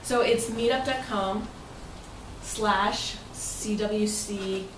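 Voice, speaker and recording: A woman's voice: medium pitch, fairly even intonation, extremely slow. Distant, roomy-sounding woman presenting informally. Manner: chatty, rambling, loosely phrased. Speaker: club president. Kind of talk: informal presentation to club members. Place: room at a club meeting. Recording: roomy, noisy recording from far off.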